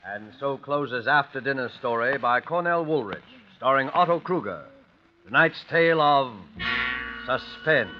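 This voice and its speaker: A man's voice: mid-range voice, lively intonation. Muffled, ominous 1940s radio host, man. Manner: formal, measured, ominous. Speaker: radio drama host and announcer. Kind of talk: 1940s radio scripted narration. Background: music.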